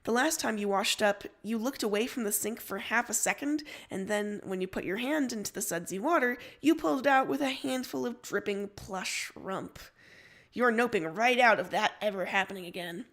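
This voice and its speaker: A woman's voice: mid-range voice, normal speed, fairly bright tone. A woman reading a story aloud as a narrator, telling it in the second person.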